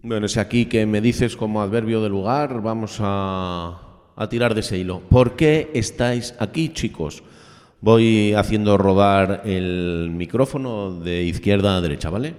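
A man's voice: fairly low pitch, unhurried, darkish tone. A middle-aged man with a Spanish accent from Spain hosting an informal radio interview in a genial, slightly ceremonious way. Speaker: host of a school radio programme. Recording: long hall-like reverb.

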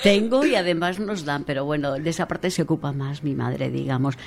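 A woman's voice: low-pitched, brisk, gravelly, a crowd in the background. A woman talking in a casual podcast chat, enthusiastic and emphatic, often repeating words. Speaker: podcast contributor.

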